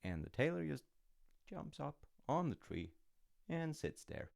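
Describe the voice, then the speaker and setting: A man playing an easygoing older dad who tells his child a folktale in an intimate, musing way, with gently wry, rambling asides. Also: very animated, unhurried, no echo, clean studio sound, full character voice. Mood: wry storytelling. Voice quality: low, gravelly. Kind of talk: intimate roleplay storytelling with casual rambling asides.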